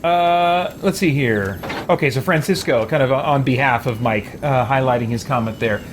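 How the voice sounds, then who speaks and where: A man hosting a game stream, chatting casually and explaining in a halting, conversational way. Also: medium pitch, background noise. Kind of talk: casual live chat while playing.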